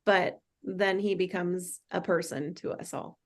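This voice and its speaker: A woman's voice: fairly low pitch, fairly even intonation, a little slow. A woman chatting casually in a group discussion about a TV series.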